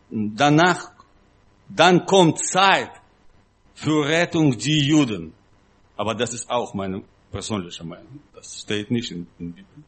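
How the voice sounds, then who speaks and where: A man preaching in a non-native, foreign-accented voice, halting and simply phrased, with emphatic repetition. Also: fairly high pitch. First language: not German. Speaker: guest preacher. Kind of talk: sermon-like teaching talk to a congregation.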